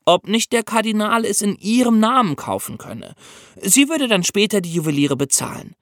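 A man hosting a podcast, telling history in a conversational, expressive storytelling style for young listeners. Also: on the high side, dry recording, studio quality. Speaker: podcast host.

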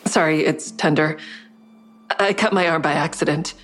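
A guarded, sleepless woman with a low voice. She confides in the listener with understated delivery, as the narrator and lead of an audio drama. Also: fairly even intonation, moderate pace. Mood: guarded concern. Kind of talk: audio drama first-person narration and dialogue.

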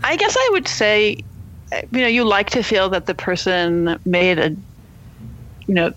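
A woman answering as an interview guest, an editor speaking in a measured, hesitant, understated way. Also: mid-range voice, a bit bright, highs cut off. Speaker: guest literary magazine editor.

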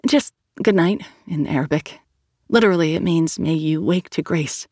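A narrator voicing an earnest young woman student who speaks casually and quickly. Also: mid-range voice, darkish tone, no room sound, lightly acted. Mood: wry.

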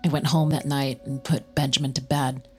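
A woman's voice: very deep voice, little pitch movement, normal speed, darkish tone. An actress playing a guarded, troubled mother in her thirties, hesitant and secretive, in an audio drama. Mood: somber, confiding. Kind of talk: audio drama acting and first-person narration. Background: music.

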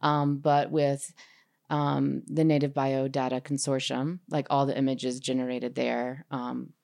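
A woman talking as a filmmaker guest in a casual panel discussion, in a halting, hedging way. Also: a bass voice, flat delivery, vocal fry, a little mellow.

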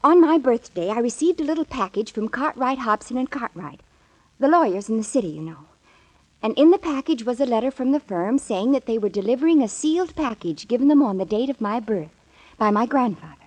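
A woman's voice: a little high, lively intonation, a little fast, darkish tone, no room sound, poor audio. An actress playing an earnest, flustered twenty-year-old American woman in a 1940s radio drama, hesitating as she explains. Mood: flustered, eager.

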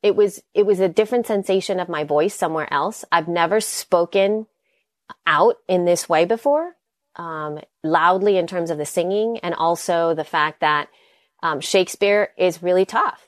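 A woman's voice: fairly low pitch, moderate pace, no echo, studio quality. A woman from El Salvador, a native Spanish speaker with a slight Spanish accent, talking earnestly in a casual podcast chat. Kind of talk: casual conversation.

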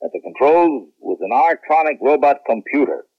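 An actor playing an authoritative general who runs a space agency, giving measured official briefings in a 1970s radio drama. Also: male voice, mid-range voice, lively intonation, no room sound. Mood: firm. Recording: tinny, dry old radio broadcast.

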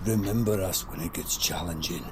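A man's voice: low-pitched, moderate pace, background noise, close to an everyday voice. An actor playing a proud, tender middle-aged father seeing off his astronaut son in an audio drama. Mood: proud, tearful.

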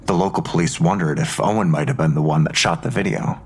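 A man's voice: rumbling low, fairly even intonation, quick pace, breathy edge. An actor playing a matter-of-fact male investigator methodically laying out a case in an audio drama.

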